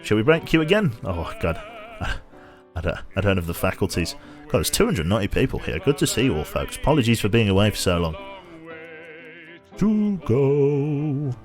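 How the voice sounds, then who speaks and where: A man streaming a game with casual commentary, a little tired, his voice slightly nasal and a bit raspy, chatty as he thinks aloud and thanks viewers. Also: on the low side, sing-song, normal speed, faint music behind.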